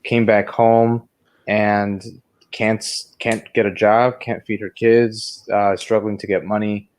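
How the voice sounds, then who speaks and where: A man with a fairly low voice speaks as a podcast co-host. He talks casually and wanders through tangents as he discusses films. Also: flat delivery, takes it slowly, a little mellow. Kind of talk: casual film review discussion.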